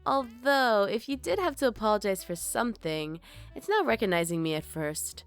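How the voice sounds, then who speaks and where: A woman's voice: fairly high pitch, lively intonation, normal speed, faint music behind. A woman playing a teasing former babysitter.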